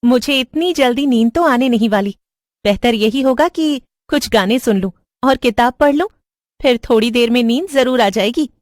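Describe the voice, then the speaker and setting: An anxious young woman from a horror cartoon, acted out by a female narrator. Her voice sits high and she repeats herself nervously, pleading as she speaks. The sound is close and dry. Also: normal speed, dry recording, close to an everyday voice. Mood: uneasy, polite. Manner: stammering, repeating words in panic. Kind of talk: horror cartoon story acting.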